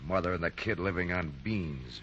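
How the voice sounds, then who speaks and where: A man's voice: deep voice, expressive, normal speed, breathy edge, exaggerated voice. An actor playing a responsible, earnest young man in a 1940s radio drama. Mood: angry. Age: young adult. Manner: earnest, forceful. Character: upright, indignant, responsible grown son and fiancé. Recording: muffled old 1940s radio recording.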